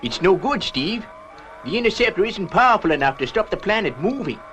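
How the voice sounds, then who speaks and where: An actor playing a fussy, excitable professor aboard a rocket ship, earnest and halting, in a science fiction series. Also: male voice, high-pitched. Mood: urgent. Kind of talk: 1960s children's science fiction puppet series acting. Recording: loud music and engine noise behind.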